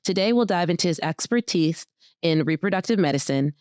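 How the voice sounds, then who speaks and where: A woman's voice: lowish voice, lively intonation, brisk, a little mellow, dry recording, studio quality. A woman hosting a podcast, introducing her guest and asking curious, playful questions. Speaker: podcast host. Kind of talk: casual interview.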